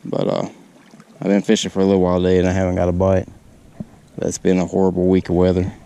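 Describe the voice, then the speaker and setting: Man, deep mellow voice, halting laid-back how-to talk, outdoors by a lake, action-cam mic, faint background noise. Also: monotone, gravelly, smooth. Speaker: fishing vlogger. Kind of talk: casual how-to narration while fishing. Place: outdoors on a lakeshore. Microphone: body-mounted action camera.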